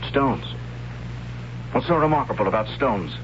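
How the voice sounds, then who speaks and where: A doubting young son, played by a man, fires off questions, his voice rising and falling widely. The old radio broadcast sounds dull and lo-fi, with a clear layer of noise. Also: medium pitch, expressive, moderate pace, lightly acted. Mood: incredulous. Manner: probing, incredulous questioning. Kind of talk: 1970s radio drama acting.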